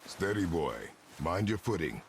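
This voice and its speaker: A battle-hardened father with an extremely low, gravel-laden voice. He is terse and commanding, with emphatic rises and drops. A faint noise sits behind this game dialogue. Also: animated, unhurried. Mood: gruff, commanding. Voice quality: very deep, gravelly. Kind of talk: cinematic game voice acting.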